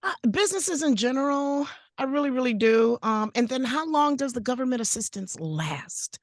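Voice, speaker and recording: A woman with a naturally raspy voice co-hosting a podcast, animated and exclaiming, often restarting her sentences. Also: high voice, moderate pace, dry recording, studio quality. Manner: animated, exclaiming, self-interrupting. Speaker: podcast co-host. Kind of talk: casual podcast chat.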